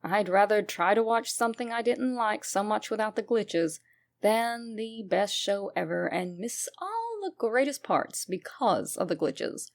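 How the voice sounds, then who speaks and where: Female narrator for creepy internet fiction: noticeable vocal fry, emphatic and wry storytelling. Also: mid-range voice, animated, measured pace. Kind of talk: dramatic first-person horror story reading.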